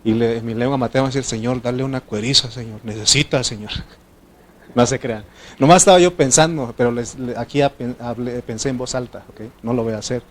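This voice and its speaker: Mexican guy preaching, spanish is his second language, gets loud then drops real quiet, plain middle pitch. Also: a little fast. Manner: animated, swinging between loud exhortation and quiet asides. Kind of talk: sermon.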